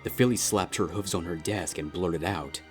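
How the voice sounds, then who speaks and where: A man with a deep voice narrates dramatic fiction. He reads scripted prose in the narrator's role, with music playing underneath. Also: normal speed.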